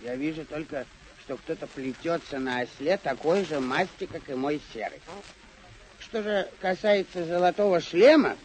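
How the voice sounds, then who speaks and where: An actor in an old radio play voicing a cautious, simple, plain-spoken squire who bumbles deferentially. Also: male voice, on the high side, moderate pace, a little mellow, a little hiss or hum, lightly acted, poor audio. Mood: cautious, doubtful. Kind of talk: old radio drama acting.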